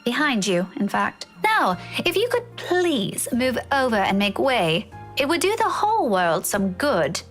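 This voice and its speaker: Lady doing annoyed young woman in a visual novel, highish voice, clipped and sarcastically polite, faint music. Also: sing-song, measured pace, fairly bright tone. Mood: irritated, curt. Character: prickly, irritated professional young woman, Daisy. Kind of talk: visual novel character read-aloud.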